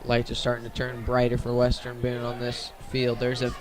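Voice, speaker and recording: A man's voice: mid-range voice, completely flat delivery, moderate pace, background noise. A man giving radio-style play-by-play commentary on a football game.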